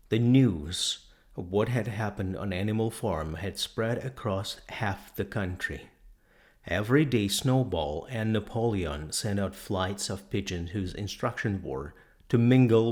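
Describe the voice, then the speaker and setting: A man reading a novel aloud as scripted narration, in a measured way with the occasional stumble over words. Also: lowish voice, expressive, a little mellow. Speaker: audiobook reader.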